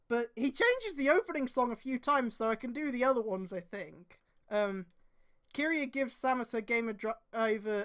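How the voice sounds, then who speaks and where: A woman streamer reading aloud and adding exasperated, sweary mocking commentary. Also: on the high side.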